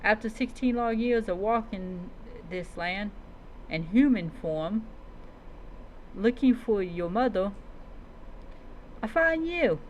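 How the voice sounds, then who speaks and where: A solemn old male dragon in human form, played by a woman, speaks in a slow, dramatic way. The medium-pitched voice tells a fantasy roleplay tale as a devoted protector, over faint background noise. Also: expressive, rough recording. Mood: solemn, determined.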